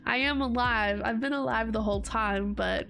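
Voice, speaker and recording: A woman's voice: on the high side, moderate pace, vocal fry, a bit bright, faint music behind. A young woman streaming casual chat while getting over a cold, her voice stuffy and congested, rambling and laughing often. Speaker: VTuber streamer.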